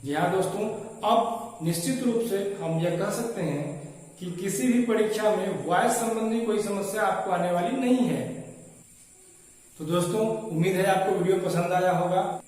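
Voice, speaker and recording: A man's voice: sits high, moderate pace, darkish tone. A man hosting an online lesson, addressing viewers in a scripted style, with a very echoey, reverberant sound.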